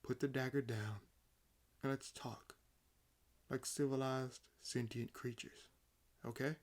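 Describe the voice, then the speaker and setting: A man playing a sardonic, freshly awakened vampire in a roleplay audio drama, talking casually and haltingly. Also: medium pitch, fairly even intonation, natural voice. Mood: commanding, calm.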